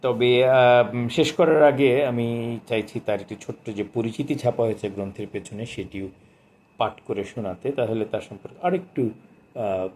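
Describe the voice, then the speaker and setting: A man gives a literary talk in a warm, mellow, mid-pitched voice. His diction is formal and expressive, and he lets phrases linger as a reciter would. Faint ambient noise sits behind the voice. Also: normal speed. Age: 60s. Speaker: book reviewer and poetry presenter.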